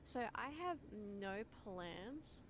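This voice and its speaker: A woman chats casually as she hosts a gaming stream, playful and informal with the odd swear. Her pitch sits in the middle for a woman. There is clear noise in the recording. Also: lively intonation, slow. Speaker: live streamer hosting a group voice chat.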